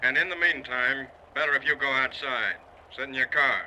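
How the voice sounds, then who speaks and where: An actor playing an unhurried, laconic policeman in an old-time radio drama. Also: medium pitch, pushed and bright. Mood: unconcerned. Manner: laconic, matter-of-fact. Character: unhurried, unconcerned small-town policeman on the phone. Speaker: police officer. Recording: noisy old radio recording.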